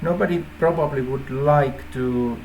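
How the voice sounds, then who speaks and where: A native Finnish man speaking English with a Finnish accent, informally explaining an idea in halting phrases with repeated words. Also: medium pitch, a little mellow, slight background noise, low-quality recording. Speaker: app developer presenting a feature idea.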